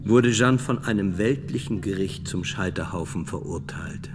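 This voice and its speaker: A man's voice: normal speed, lightly acted. A man playing a sober inquisitor in a radio play, putting questions in a terse, formal way. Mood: formal, probing. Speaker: actor in a radio drama. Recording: music and rumble behind voice.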